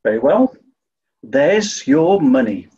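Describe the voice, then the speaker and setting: An actor playing a cheerful, oblivious upper-class British toff in a 1940s-style radio comedy. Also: male voice, medium pitch, sing-song, slow, warm tone. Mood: genial, reassuring. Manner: jaunty, genial, oblivious. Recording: dull, muffled recording.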